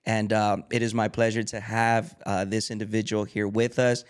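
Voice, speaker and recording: A man's voice: lowish voice, flat delivery, moderate pace. A man hosting a podcast, introducing his guest eagerly with frequent fillers. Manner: enthusiastic, many fillers, reads the guest's bio aloud. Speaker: podcast host. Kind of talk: casual podcast interview.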